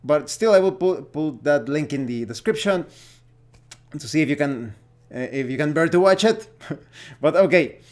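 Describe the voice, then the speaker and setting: A man presenting an opinionated explainer as a video host on tabletop role-playing games, talking in deliberate phrases broken by pauses. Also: fairly high pitch, lively intonation, a little mellow. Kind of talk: opinionated explainer talk to camera.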